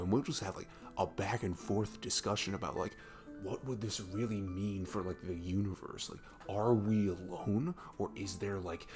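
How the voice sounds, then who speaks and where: A lowish male voice , performed by an actor plays an affectionate young best friend in a romantic roleplay audio. He rambles in a casual, goofy, gushing way, as if chatting one to one. Faint music plays behind him. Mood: goofy, amused. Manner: rambling, gushing, informal, chuckling between phrases. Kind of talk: romantic ASMR roleplay audio, casual improvised-sounding chat.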